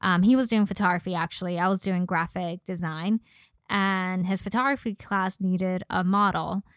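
A woman's voice: on the low side, steady tone, normal speed, no echo, studio quality. A young woman from Los Angeles with a slight Valley girl accent, chatting casually as a podcast guest. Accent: slight Valley girl Californian. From Los Angeles, born in Mexico. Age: young adult. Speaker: podcast guest, model and personal trainer. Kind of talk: casual conversational interview.

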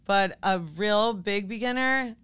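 A woman's voice: mid-range voice, slow pace, fairly bright tone, clear, resonant tone. A woman who works as a fitness coach, talking informally and conversationally as a guest in a casual interview. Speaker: podcast guest, fitness coach and business owner.

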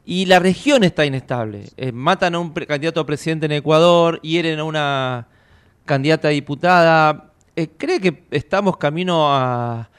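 Smooth-voiced radio host. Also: male voice, fairly high pitch, moderate pace. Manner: hesitant, many fillers while framing a question. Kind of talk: interview questions.

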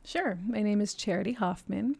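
A woman's voice: medium pitch, normal speed, gravelly, darkish tone, breathy edge. A woman in her thirties telling her personal story as a podcast guest, earnest and reflective, laughing often between thoughts. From Japan and New York City. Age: 30s.

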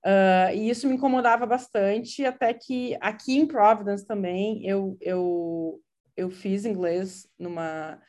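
A woman's voice: medium pitch, steady tone, slow, a little mellow. A woman from Brazil with a gaúcho accent, talking casually and hesitantly, full of fillers and restarts. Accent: Gaúcho Brazilian Portuguese. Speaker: interviewee. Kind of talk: casual conversational account.

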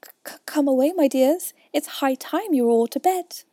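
Woman doing a fussy, high-pitched storybook bird. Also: lively intonation, measured pace, mellow, no echo, clean studio sound. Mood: anxious, trembling. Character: fussy old mother magpie or canary, timid storybook bird.

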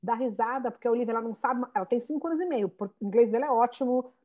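A Brazilian-born woman in her thirties, telling stories with lots of restarts in a relaxed interview. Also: a little high, racing. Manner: animated storyteller, many restarts and "né". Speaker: founder of a Portuguese school for children. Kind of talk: conversational interview.

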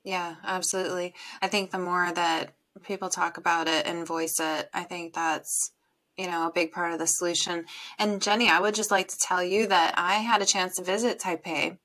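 A woman's voice: fairly low pitch, fairly even intonation, moderate pace, fairly bright tone. A woman hosting a podcast, talking thoughtfully and a little ramblingly with many fillers. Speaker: podcast host. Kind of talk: conversational interview.

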